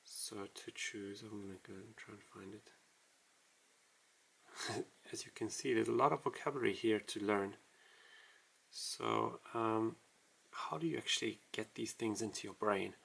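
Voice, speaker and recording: A man casually walking through a writing tutorial, explaining in a halting, self-deprecating way as he writes. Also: fairly low pitch, steady tone, creaky, fairly bright tone, husky, rough recording. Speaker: language tutorial host.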